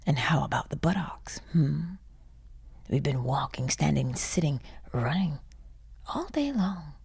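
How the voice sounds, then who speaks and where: Very breathy, slow, low-voiced woman guiding meditation. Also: expressive, dry recording. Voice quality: breathy, husky. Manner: soothing, hushed, breathy delivery with long pauses. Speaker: meditation guide. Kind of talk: guided sleep meditation.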